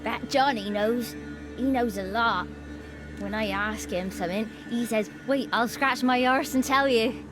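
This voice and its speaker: A woman voicing a timid yet impish little village child in a fantasy video game, with simple, halting speech. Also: on the high side, over music, close to an everyday voice. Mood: naive, defiant. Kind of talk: video game character acting.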